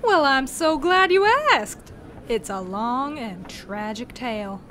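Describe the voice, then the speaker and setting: A woman's voice: on the high side, expressive, slow pace, fairly bright tone, slight background noise. A woman playing a genial, long-winded, folksy male cowboy posse leader in a western audio drama. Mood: nostalgic, rambling. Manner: rambling, folksy, theatrical storytelling.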